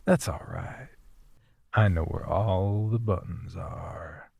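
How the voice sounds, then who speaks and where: An actor playing a flirtatious late-night radio DJ, smooth-talking and seductive, with teasing, breathy half-whispers. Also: male voice, low-pitched, takes it slowly, a little mellow, dry recording, exaggerated voice. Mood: wry, flirtatious.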